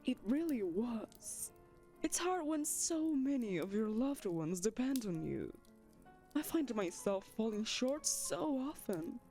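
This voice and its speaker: Ancient, vain vampire mistress played by a woman: unhurried, highly animated, sugary and condescending, audio roleplay over faint music. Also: full character voice. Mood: self-pitying, breezy. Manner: syrupy, condescending, theatrical. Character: ancient female vampire mistress, saccharine, possessive, callously vain.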